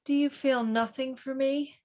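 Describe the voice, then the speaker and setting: A woman's voice: sits high, fairly even intonation, normal speed, a little mellow, lo-fi sound, natural voice. A woman acting a devoted, frustrated lady in love, earnest and pleading, in a radio play. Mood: hurt, pleading. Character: Fanny, devoted, frustrated married lady lover.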